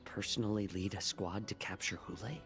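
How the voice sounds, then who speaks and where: Man as a low-voiced, shrewd fox-man healer. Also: music in the background, close to an everyday voice. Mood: wary, pondering. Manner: measured, wary, thoughtful. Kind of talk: dramatic video game voice acting.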